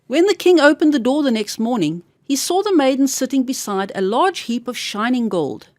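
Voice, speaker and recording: High, very animated woman storyteller. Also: moderate pace, dry recording, studio quality. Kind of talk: scripted fairy-tale narration for children.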